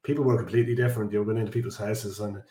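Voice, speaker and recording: Irish guy in his forties chatting on a podcast, low monotone voice, lots of ums, roomy echo. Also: very fast. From Ireland. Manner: chatty, rambling, with many fillers and restarts. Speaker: guest, construction business director. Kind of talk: casual podcast interview. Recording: echoey room.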